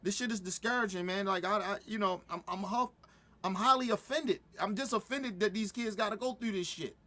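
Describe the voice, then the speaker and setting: Middle-aged man, fired-up reaction host, high-pitched voice, stumbling restarts. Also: fairly quick, sharp tone. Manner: heated, repetitive, stumbling restarts. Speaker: commentator reacting to a video. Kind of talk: profane casual reaction commentary.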